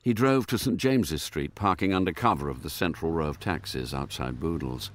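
A man's voice: a bass voice, a little fast. A man reading scripted narration for a radio drama.

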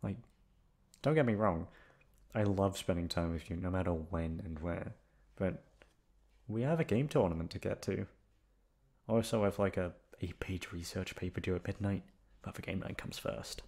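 Low-voiced, cheeky young guy played by a man. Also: moderate pace, long pauses, a little mellow. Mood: playful, complaining. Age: young adult. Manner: casual, hesitant, teasing, profane. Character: cheeky delinquent college-age guy, soft-hearted toward his best friend. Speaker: voice actor. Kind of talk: ASMR boyfriend roleplay.

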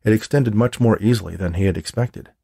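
A man narrates an audiobook in a lowish, gravelly voice. His delivery is expressive and the sound is close and dry. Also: brisk, no echo. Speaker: author reading own novel.

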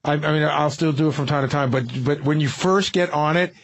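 A man's voice: a little high, fairly even intonation, fairly quick. A man hosting a radio show, telling anecdotes in casual, rambling, profane studio banter.

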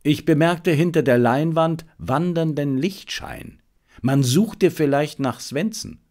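A man narrating a detective story as an audiobook, in a measured, dramatic storytelling manner. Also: mid-range voice.

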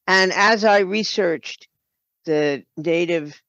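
Slow, halting woman interview guest. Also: on the low side, fairly bright tone, dry recording, dull audio. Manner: halting, with broken-off sentences. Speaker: guest, writer and audio drama producer. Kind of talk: podcast interview, explaining her work.

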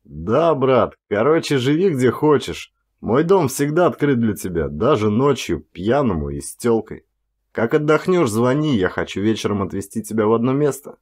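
Man voicing a hearty, animated best buddy. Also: medium pitch, moderate pace. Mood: friendly, easygoing. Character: warm, boisterous male best friend, easygoing and hospitable. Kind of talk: audiobook character dialogue.

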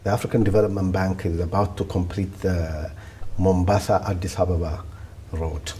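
A man in his fifties from Ethiopia, giving deliberate, steady answers as a guest in a studio interview, recorded on a lapel mic. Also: low-pitched, a little hiss or hum. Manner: deliberate, steady, with repeated restarts. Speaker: development bank regional director, guest. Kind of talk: measured policy interview answers. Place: indoor studio set, seated in wicker chair.

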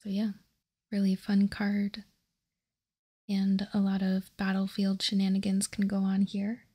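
A woman whispering softly in an unhurried, measured ASMR style as she reads out and comments. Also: mid-range voice, monotone. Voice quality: breathy, unvoiced whisper. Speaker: host of a card-game ASMR show.

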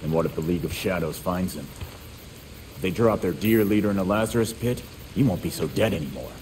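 A man's voice: sits low, expressive, fast talker, a little mellow, slight background noise. An actor playing a blunt young male vigilante with a dry, sarcastic delivery in a video game. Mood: dry, sardonic.

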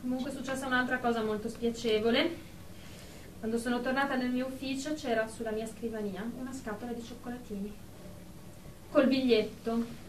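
Actress as a high-pitched haughty businesswoman in roomy sound. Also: fairly even intonation, fairly quick, close to an everyday voice. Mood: irritated. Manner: snappish, self-important, precise. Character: haughty, irritable businesswoman in group therapy. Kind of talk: comic radio drama dialogue. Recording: roomy, distant mic with faint noise.

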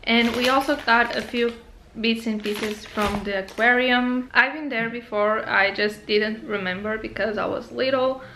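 A woman's voice: on the high side, fairly even intonation, a little slow, a bit bright, a little hiss or hum. A young woman with a Portuguese accent, vlogging casually to a handheld camera from a hotel room and her living room, chatty and easily sidetracked. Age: young adult. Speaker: travel vlogger. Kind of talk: casual vlog chat. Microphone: handheld camera at arm's length.

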